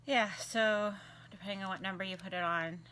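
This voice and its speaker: A woman's voice: mid-range voice, fairly even intonation, brisk, pushed and bright, clean tone, low-quality recording. A young woman co-hosting a vlog, playful and teasing, explaining things casually and sometimes dropping into a whisper. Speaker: vlog co-host. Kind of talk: casual chat.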